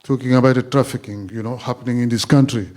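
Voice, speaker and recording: A man's voice: mid-range voice, steady tone, warm tone. Impassioned man from the audience. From Democratic Republic of the Congo. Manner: impassioned, halting. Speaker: audience member.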